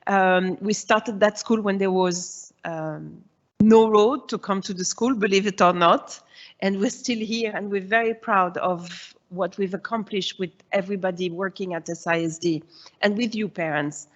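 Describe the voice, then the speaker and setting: A woman in her forties from Switzerland gives a presentation to parents as the head of her school's early years. Her voice sits mid-range, and she explains each point patiently with many "uh" and "um" pauses. The sound is slightly dull and muffled. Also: medium pitch. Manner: explanatory, reassuring, with frequent "uh" and "um".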